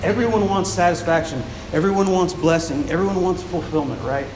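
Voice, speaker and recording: A man preaching a sermon, soft-spoken and often repeating words as he restarts a thought, after reading scripture aloud. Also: high-pitched, fairly quick. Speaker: pastor. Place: church with a large echoing room. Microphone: handheld mic. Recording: echoey, reverberant hall with noise.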